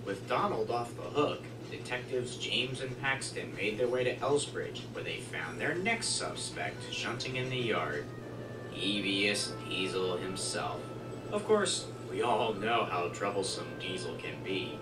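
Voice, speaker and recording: Male narrator for a train tale, lively storybook delivery, echoey playback over prominent music. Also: fairly low pitch, measured pace. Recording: roomy, distant playback under loud music.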